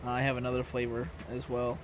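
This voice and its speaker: Hoarse young man, flat laid-back voice. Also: medium pitch, quick pace, noisy background. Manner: laid-back, chatty, talks to viewers. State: hoarse, scratchy voice. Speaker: live streamer. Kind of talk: casual live-stream chat. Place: room at home. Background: music playing.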